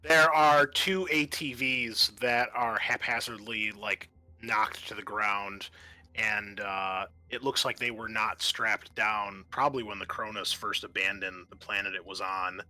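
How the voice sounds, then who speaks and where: Bright-voiced man as game master. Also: fairly low pitch, fairly even intonation, measured pace, no room sound, poor audio. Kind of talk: improvised narration of a tabletop game. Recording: music under the voice.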